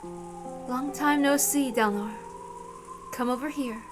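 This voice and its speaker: A woman voicing a composed, motherly witch who speaks with gentle, genteel courtesy. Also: high-pitched, slow pace, over music. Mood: courteous, composed.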